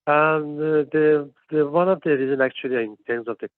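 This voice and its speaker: A man's voice: on the high side, fairly even intonation, moderate pace, dry recording. A man in his forties from Iran, a panelist on a phone call, speaking with frequent hesitations and restarts. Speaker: panelist, PhD student. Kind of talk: panel discussion. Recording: tinny dry phone line.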